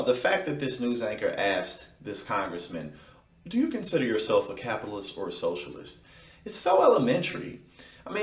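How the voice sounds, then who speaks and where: Very deep-voiced male commentator, indignant and animated, heard off-mic in a roomy space. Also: recorded from a distance. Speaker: political commentator. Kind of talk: online news commentary.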